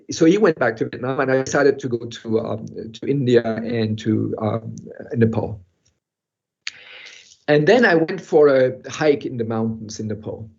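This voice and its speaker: An older man who grew up in Europe tells his own story at an even middle pitch. He pauses, restarts, and laughs softly as he reflects. The sound is muffled and dull, as if heard over a video call. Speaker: guest speaker. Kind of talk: personal storytelling in an online talk.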